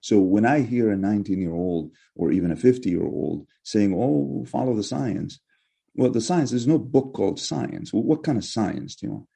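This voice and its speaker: Give me a middle-aged man from Egypt, Arabic, speaking English in a low, husky voice. His answers are measured and probing, with frequent restarts as he thinks aloud. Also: animated, mellow. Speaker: professor, guest. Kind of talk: reflective interview answers.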